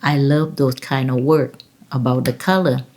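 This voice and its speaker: A woman's voice: very deep voice, fairly even intonation, normal speed, darkish tone. A woman in her fifties from Thailand with a Thai accent, telling personal stories in a rambling, laughing way. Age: 50s. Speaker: vlogger. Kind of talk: casual personal storytelling.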